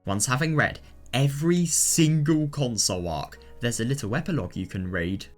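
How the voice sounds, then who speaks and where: A man's voice: medium pitch, moderate pace. A man presenting a video guide in a scripted, methodical, instructional way. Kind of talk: scripted explanatory narration. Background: background music.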